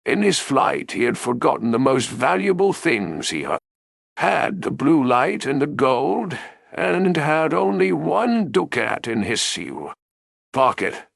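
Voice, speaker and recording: A man narrating a bedtime fairy tale as a storyteller, in an expressive, deliberate way. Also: mid-range voice, creaky, no echo, studio quality.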